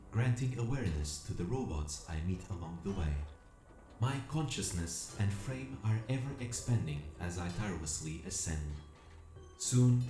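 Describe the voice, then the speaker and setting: Deep, echoing solemn robot acted by a man. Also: dark tone. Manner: measured, formal, reflective phrasing. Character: self-evolving combat robot, earnest, solemn, longing for freedom. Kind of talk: dramatic first-person narration, audio drama. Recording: echoing voice over music. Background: music.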